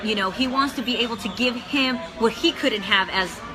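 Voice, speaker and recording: A woman's voice: fairly high pitch, moderate pace, low-quality recording. A woman hosting a casual livestream chat, upbeat and encouraging, often chiming in. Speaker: livestream host. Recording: background music and crowd noise.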